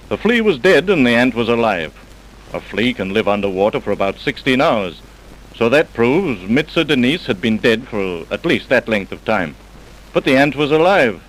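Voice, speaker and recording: An actor playing a clever, unflappable police scientist in an old radio crime drama, explaining with measured, dry confidence. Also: male voice, medium pitch, very animated, close to an everyday voice, poor audio. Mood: confident explaining. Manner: measured, wry, self-assured explaining. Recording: vintage radio broadcast recording.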